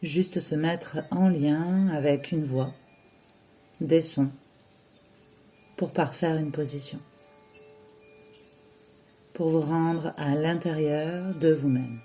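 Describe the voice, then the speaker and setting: A yoga nidra teacher guiding a relaxation in a soothing, unhurried way, with long pauses between short phrases. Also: mid-range voice, fairly even intonation, mellow. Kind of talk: slow guided relaxation meditation.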